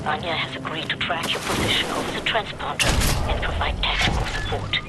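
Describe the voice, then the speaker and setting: An actor playing a solemn resistance ally, delivering a deliberate, dramatic mission briefing in a video game cutscene. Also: male voice, a little high, heavy background noise, close to an everyday voice.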